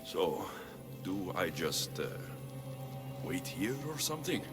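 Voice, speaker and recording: A man's voice: low voice, big pitch swings, slow pace, over loud music, heavily acted. A man playing a weary, earnest ancient Greek mercenary hero in a video game, speaking plainly. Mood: wary, questioning. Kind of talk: scripted video game drama.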